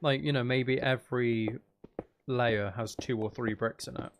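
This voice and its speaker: A man's voice: medium pitch, steady tone, unhurried, creaky, slight background noise. A man chatting casually and thinking aloud as he hosts a live game stream, talking to his viewers.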